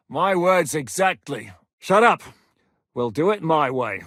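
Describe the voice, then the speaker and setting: An actor playing a hardened, foul-mouthed war captain in a video game, gruff and commanding. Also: male voice, fairly high pitch, very animated, slow, a bit bright, no room sound, clean studio sound, heavily acted. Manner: gruff, curses freely, barks orders. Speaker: military commander.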